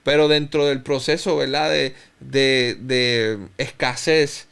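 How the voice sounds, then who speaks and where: A man in his thirties from Cuba, speaking Spanish with a Puerto Rican accent, tells his story as a guest in a slow, reflective and earnest way, often restarting his phrases. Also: mid-range voice, fairly even intonation, gravelly, a bit bright, clean tone. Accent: Puerto Rican Spanish, faint Cuban trace. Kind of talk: personal testimony in an interview.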